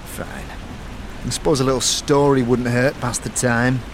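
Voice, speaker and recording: A man's voice: mid-range voice, flat delivery, measured pace, slight background noise, natural voice. A man playing a surly one-eared tiefling thug. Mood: sullen. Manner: gruff, threatening, streetwise slang.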